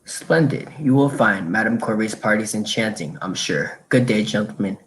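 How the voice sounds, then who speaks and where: Man playing low-pitched, suave French police inspector. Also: expressive, moderate pace, a little hiss or hum, lightly acted, poor audio. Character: smooth, self-assured male French police inspector. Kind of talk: radio drama acting.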